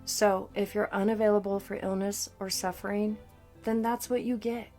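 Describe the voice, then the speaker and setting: A woman coach narrating a scripted guided meditation in a soothing, deliberate manner. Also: medium pitch, fairly even intonation, darkish tone. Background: background music.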